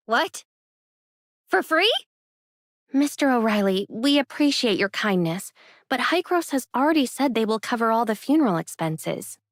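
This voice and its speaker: A woman voicing an earnest, excitable young character in an anime game, speaking expressively. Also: on the high side, a little slow, pauses often, no echo, clean studio sound. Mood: polite, composed.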